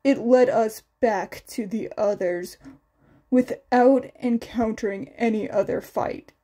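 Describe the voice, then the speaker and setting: A calm teenage boy student from an anime-style story , read by a woman. His voice is light and high, with slow, plain, measured delivery.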